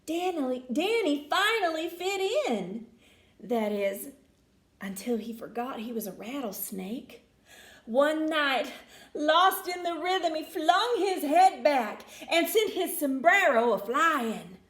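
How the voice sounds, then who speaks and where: A woman narrating a children's storybook in an expressive, theatrical way. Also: high voice, slow pace, echoey room. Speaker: storybook reader.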